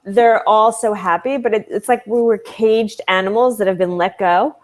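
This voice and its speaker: A woman's voice: mid-range voice, moderate pace. A woman from New Jersey, co-hosting a casual chat over a video call, talking with frequent laughter. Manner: laughs often, chatty. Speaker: co-host. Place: home in Florida, video call.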